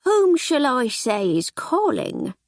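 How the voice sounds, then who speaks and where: A woman voicing a stiff, sharp-tongued middle-aged housekeeper with a prim, haughty manner. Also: high-pitched, sing-song, takes it slowly, vocal fry, dry recording, studio quality, voice pushed up, full character voice.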